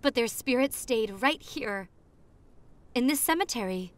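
A young woman playing a popular college girl on a spooky romantic date, in an ASMR roleplay with a hushed, dreamy manner and dramatic pauses. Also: on the high side, lively intonation. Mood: dreamy, storytelling. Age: young adult.

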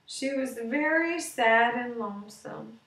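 High-voiced woman reading a children's story. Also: slow, a bit bright. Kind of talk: children's bedtime story read aloud, slow simple narration. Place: living room with sofa and window, indoors. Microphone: webcam at about arm's length or more. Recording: roomy, distant webcam sound.

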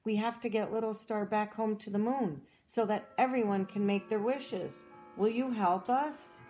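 A woman reading a children's storybook aloud as a cheerful young girl explorer, in a gentle, encouraging way that asks the listener questions. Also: medium pitch, steady tone, normal speed, soft background music, close to an everyday voice. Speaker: storybook reader.

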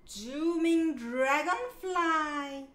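A woman reading a picture book aloud for young children, in a sing-song, clearly enunciated way. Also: sits high, extremely slow, a bit bright. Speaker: children's storybook reader.

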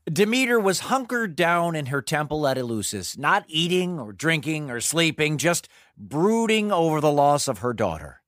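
Animated male storyteller, lively pitch. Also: normal speed, no room sound, studio quality. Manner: conversational asides. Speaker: host and storyteller. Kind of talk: animated scripted storytelling for children.

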